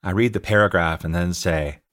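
A middle-aged American man reading his own audiobook as an author and teacher, telling anecdotes in an expressive, scripted style. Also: low-pitched, normal speed. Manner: expressive, anecdotal storytelling, acting out dialogue. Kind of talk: scripted narration, audiobook memoir.

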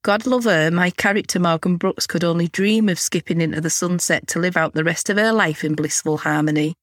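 A woman in her forties hosting a chatty solo podcast, candid and a little cheeky, her voice slightly hoarse from a cold. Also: lowish voice, brisk, no echo, clean studio sound. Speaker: podcast host.

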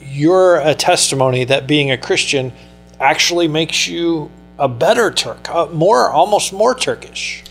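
Higher-pitched male radio interviewer. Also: animated, unhurried, gravelly, soft background music. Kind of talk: conversational interview.

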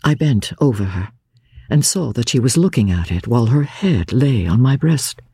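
An older woman narrating classic literature as a diary, in a measured, grave and expressive dramatic reading. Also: no echo, studio quality. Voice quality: low-pitched, husky. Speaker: audiobook narrator, radio presenter.

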